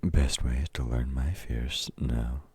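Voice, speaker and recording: Man acting very deep, husky, domineering villain. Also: slow pace, dark tone, full character voice. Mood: menacing flirtation. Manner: gruff, mocking, commanding, addressing a meek listener. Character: domineering, taunting male villain, grudging temporary ally of heroes. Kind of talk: intimate audio roleplay, scripted dramatic acting.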